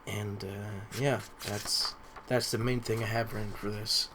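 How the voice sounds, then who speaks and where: A man's voice: on the low side, flat delivery, measured pace, background noise. A young man casually recapping his notes on a podcast. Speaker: podcast co-speaker.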